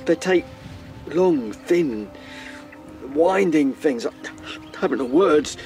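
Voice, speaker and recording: An actor playing a traumatised male rabbit, a former captain, speaking in a halting, haunted and distressed way in a radio drama. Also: fairly high pitch, animated, darkish tone. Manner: halting, haunted, breaking off mid-sentence. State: shaken, distressed. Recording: music under the voice.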